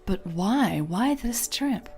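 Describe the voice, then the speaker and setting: A woman's voice: medium pitch, lively intonation, takes it slowly, dark tone, faint music behind, pitched above the performer's own voice. A woman voicing a curious, skeptical female tech blogger in an audio story, questioning and a little hesitant.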